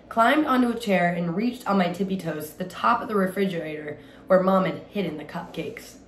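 A young adult woman reading a children's story aloud expressively, recorded indoors at home a little way from the microphone. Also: mid-range voice. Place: small indoor room at home. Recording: roomy echo, light background noise.

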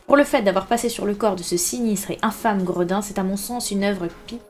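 A woman's voice: medium pitch, brisk, darkish tone, a little hiss or hum, lightly acted. Woman playing a blustering, haughty country squire. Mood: lordly approval. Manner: exclamatory, grandiloquent, indignant.

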